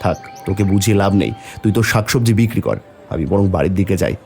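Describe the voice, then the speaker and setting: A man's voice: low voice, fast talker, a little mellow, soft background music. A man playing a poor, worried village labourer and father in a fairy-tale cartoon, speaking plaintively. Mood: hurt, defiant. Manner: lamenting, plaintive.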